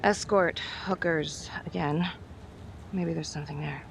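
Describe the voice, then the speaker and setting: A woman's voice: low-pitched, steady tone, a little slow, creaky, noisy background. A woman playing a tough, hard-boiled police detective with a blunt, terse, impatient way of talking in a dramatic video game. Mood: wry, matter-of-fact.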